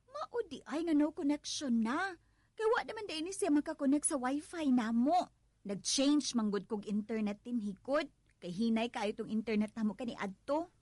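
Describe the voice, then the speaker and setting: A woman with a Cebuano accent playing a sociable, trusting condo tenant in a radio drama, with drawn-out, lilting exclamations. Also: on the high side, expressive, darkish tone, dry recording, clean studio sound. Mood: casual, surprised. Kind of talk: Filipino radio drama acting.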